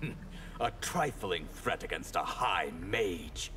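An actor playing an imperious, disdainful high mage in a video game, pompous and formal. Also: male voice, sing-song, takes it slowly, full character voice. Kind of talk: video game fighter dialogue.

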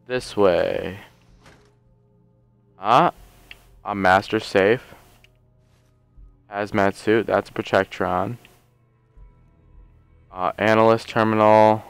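A man casually commenting on his gameplay, rambling and joking as he thinks aloud, a little hoarse from a long session. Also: lowish voice, fairly even intonation, drawn-out words, long pauses, no echo, soft background music. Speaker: let's play host.

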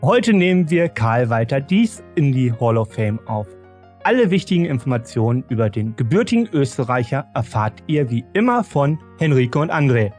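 A man's voice: medium pitch, big pitch swings, moderate pace, darkish tone. A man hosting a podcast, chatting casually and presenting segments. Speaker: podcast host. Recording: music bed behind voice.

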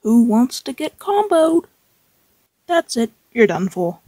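A woman's voice: fairly high pitch, sing-song, takes it slowly, warm tone, husky, no room sound, heavily acted. A woman voicing a cocky, boastful armored fighter in scripted game lines. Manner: boastful, indignant.

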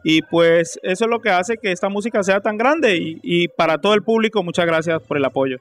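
High-pitched Colombian man in interview. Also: fairly quick. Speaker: salsa singer being interviewed. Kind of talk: casual on-camera interview. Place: indoor room at a nightclub event. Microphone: handheld interview microphone. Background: faint music.

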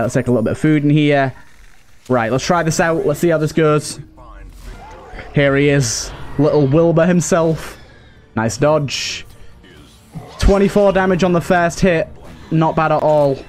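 Higher-voiced man doing casual game commentary. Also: a little mellow. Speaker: gaming video host.

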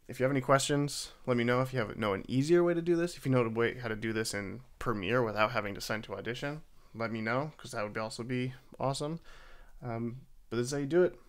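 A man narrating a software tutorial in a casual, halting, self-deprecating way. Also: mid-range voice. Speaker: tutorial host. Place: voice-over for a screen recording.

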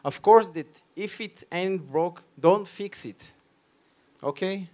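A man's voice: sits high, slow, warm tone. A man in his thirties with an Israeli accent, giving a live conference presentation in emphatic, short, repeated phrases into a handheld microphone. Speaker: conference speaker on startups and innovation. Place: stage at a tech conference.

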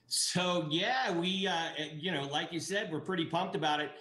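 A man's voice: sits high, normal speed, sharp tone. Chatty middle-aged man on a roomy webcam. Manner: enthusiastic, many fillers and restarts. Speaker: brewery guest. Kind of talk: casual interview over video call. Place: indoor office room. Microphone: video call webcam.

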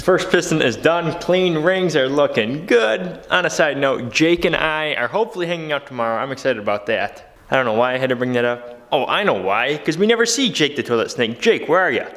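A man's voice: on the high side, animated, brisk, hardly any pauses, fairly bright tone, faint music behind. A young man in his twenties vlogging from his garage workshop, upbeat and jokey, with a motivational streak. He talks into a handheld camera held close. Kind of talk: energetic workshop vlog. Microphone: handheld camera, close and moving.

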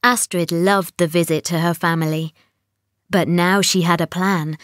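A woman narrating a children's storybook in an expressive, scripted reading style. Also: on the low side, a little slow, no echo, clean studio sound.

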